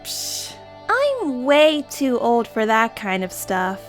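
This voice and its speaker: A woman voicing a dreamy, excitable young female bat-winged pony in an animated fan audio drama, given to theatrical musing and flustered stammers. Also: on the high side, takes it slowly, heavily acted. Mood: dismissive. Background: music.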